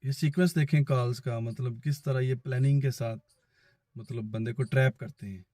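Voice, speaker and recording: A Pakistani man recounts a personal experience in a casual, conversational style. His voice is mid-pitched and relaxed, with a dark, mellow tone. He relays both sides of conversations as he goes, and the sound is dry, with very little room in it. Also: moderate pace, no room sound. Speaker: vlogger. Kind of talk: casual first-person storytelling.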